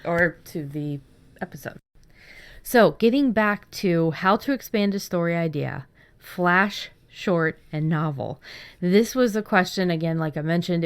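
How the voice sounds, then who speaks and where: A woman's voice: deep voice, normal speed, clear, resonant tone. A woman hosting a casual podcast about writing fiction, chatting in an enthusiastic way. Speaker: podcast host.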